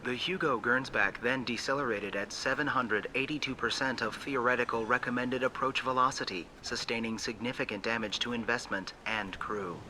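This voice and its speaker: A starship beacon computer in a sci-fi game, with a very bright, sharp voice of medium pitch. It recites logs formally and without emotion, over faint noise. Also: male voice, fairly even intonation, moderate pace, few pauses, rough recording. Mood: impassive, clinical. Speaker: automated emergency beacon. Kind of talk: automated log readout.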